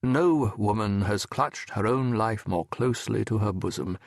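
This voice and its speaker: A man narrating an audiobook as a wry, reflective French medical professor telling his memoir in a measured, erudite, wistful way. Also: lowish voice, gravelly, dry recording, clean studio sound. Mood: wry, musing. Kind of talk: scripted first-person literary narration.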